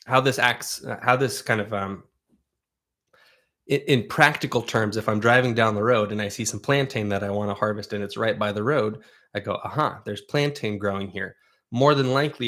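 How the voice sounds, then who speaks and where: A man's voice: lowish voice, fairly bright tone. A man whose first language is Russian, from Oregon, hosting a livestream and answering viewer questions in a loose, rambling way. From Southern Oregon, USA. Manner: rambling, conversational, with frequent restarts. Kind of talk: casual chat.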